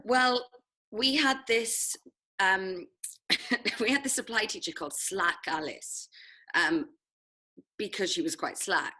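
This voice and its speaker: A woman's voice: mid-range voice, takes it slowly, long pauses, sharp tone. A Welsh woman from South Wales, chatting as an interview guest about her school days, laughing often and poking fun at herself. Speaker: head of sixth form, interview guest. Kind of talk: casual interview chat.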